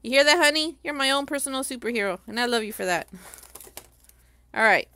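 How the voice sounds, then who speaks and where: A woman's voice: high voice, expressive, brisk, bright, forceful tone. A Puerto Rican woman with a Puerto Rican accent, chatting casually and rambling while she glues a craft at her home work table, often laughing at herself. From Puerto Rico. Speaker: crafting livestreamer.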